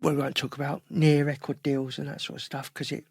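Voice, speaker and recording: A man's voice: mid-range voice, level tone, fairly quick, vocal fry, a little mellow, slightly rough, no echo, clean studio sound. A middle-aged man with a London accent, a guest musician telling rambling anecdotes in a casual interview. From London, England.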